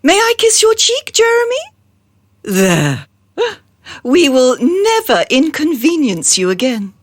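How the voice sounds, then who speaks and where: A woman playing a haughty, theatrical madam in a radio drama, grandiose and mock-sweet in her deliberate delivery. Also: high-pitched, huge pitch swings, no echo, studio quality, exaggerated voice. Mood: mock tender.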